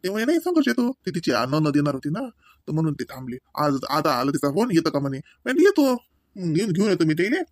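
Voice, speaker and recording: A man's voice: fairly high pitch, very animated, brisk, dry recording, studio quality. A man voicing a doting, proud young father in a comedy cartoon, chatty and folksy, with a Varhadi Marathi accent. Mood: chatty, caring. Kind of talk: homemade comedy cartoon dialogue.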